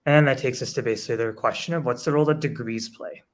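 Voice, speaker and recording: A man's voice: mid-range voice, fast. A man speaking as an education panelist, analytical, building his points through rhetorical questions. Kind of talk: panel discussion answer.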